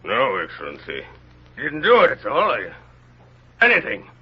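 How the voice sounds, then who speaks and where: A man playing a simple, frightened peasant prisoner who speaks haltingly and pleadingly in an old radio drama. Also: medium pitch, wildly swooping pitch, slightly rough, heavily acted. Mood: muddled, meek. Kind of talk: 1930s radio drama acting. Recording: dull old radio recording.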